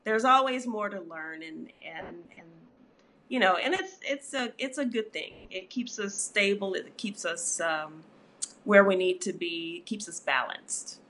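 A woman's voice: medium pitch, measured pace. Middle-aged woman, therapist guest, reflective and conversational, filler-heavy. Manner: warm, reflective, frequent "you know" fillers. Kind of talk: conversational interview.

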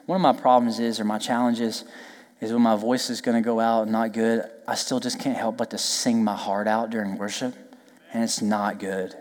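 A male pastor preaching a lively, joking sermon, then leading prayer and worship while losing his voice. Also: fairly low pitch, normal speed, big-room sound. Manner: lively, joking, emphatic preaching with call-and-response asides. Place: church sanctuary.

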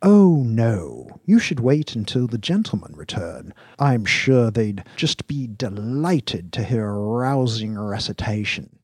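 A man voicing a cool, sardonic male kingpin in an audiobook, speaking in a deliberate, formal, dryly ironic way. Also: fairly low pitch, animated, darkish tone, breathy edge, dry recording, clean studio sound, pitched above the performer's own voice, exaggerated voice. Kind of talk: audiobook character dialogue.

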